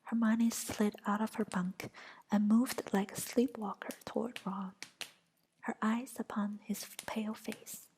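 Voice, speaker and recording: A woman narrating a story aloud in a soft, unvoiced whisper. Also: mid-range voice, flat delivery, a little slow, slight background noise, rough recording. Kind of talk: whispered audiobook reading.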